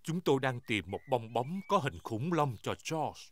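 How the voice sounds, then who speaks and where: A man's voice: medium pitch, sing-song, normal speed, very noisy, close to an everyday voice. A man voicing a jolly, helpful daddy pig in a children's cartoon dub, hearty and friendly. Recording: music behind the voice.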